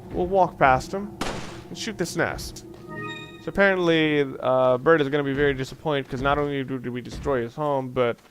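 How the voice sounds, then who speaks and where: A man hosting a let's play, commentating casually on a video game in a rambling, halting way with dry joking asides. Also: medium pitch, background noise. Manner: rambling, halting self-corrections. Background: video game music.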